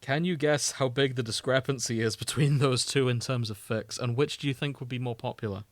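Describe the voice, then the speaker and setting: A man's voice: medium pitch, fairly even intonation, fairly quick, no room sound. A man co-hosting a podcast in casual, conversational chat. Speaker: podcast co-host.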